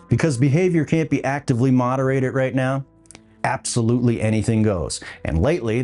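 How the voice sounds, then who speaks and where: A man's voice: medium pitch, a little mellow. Wry male podcast host over music. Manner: wry, dry humour. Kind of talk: scripted opinion commentary.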